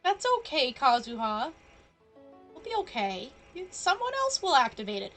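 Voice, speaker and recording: A woman's voice: high voice, sing-song, normal speed, a bit bright. A woman live streamer giving casual, playful, chatty commentary over a game and reading lines aloud. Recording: faint game music behind.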